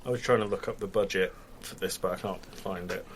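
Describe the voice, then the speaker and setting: A man's voice: low voice, fairly even intonation, a little fast, a little hiss or hum. A man co-hosting a podcast with casual banter and a dry, joking delivery. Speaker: podcast co-host.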